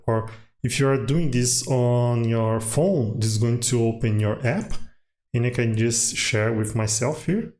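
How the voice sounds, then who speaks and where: A man whose first language is Portuguese, hosting a casual coding tutorial and thinking aloud with many fillers as he works. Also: mid-range voice.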